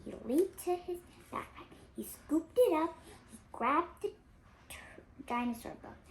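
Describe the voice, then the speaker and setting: An eight-year-old child reading a storybook aloud, halting at times and stumbling over words. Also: sits high, lively intonation, gravelly, slight background noise. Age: child, 8 years old. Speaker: young reader on a read-aloud channel.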